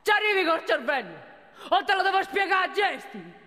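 A woman's voice: very high voice, very animated, a little fast, pushed and bright, long reverb tail, a little hiss or hum, pitched above the performer's own voice, heavily acted. A woman playing an older male Roman security guard in a comic stage monologue, colloquial, pleading and exasperated, with a Roman accent. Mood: exasperated, frightened.

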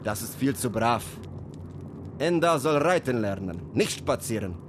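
Actor playing a commanding, gruff Apache man, animated delivery. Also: medium pitch, measured pace, noisy background, close to an everyday voice. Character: commanding Apache man, testing and instructing a young boy. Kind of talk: radio drama acting.

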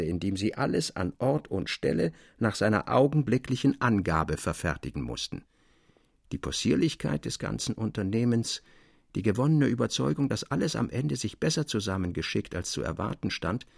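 A man narrating a classic literary tale in a measured, articulate way with expressive emphasis. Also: lowish voice, vocal fry, husky, no echo, studio quality.

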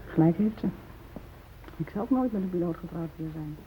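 An actress playing a blunt, teasing train hostage in radio drama dialogue, conversational and dryly needling. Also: female voice, on the low side, animated, fairly quick, mellow, natural voice. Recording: tinny, noisy narrow-band old radio recording.

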